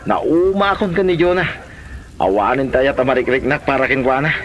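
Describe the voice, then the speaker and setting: A man with an Ilocano Filipino accent playing a smooth-talking suitor in a radio drama. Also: medium pitch, brisk, dull audio, natural voice. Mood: confiding.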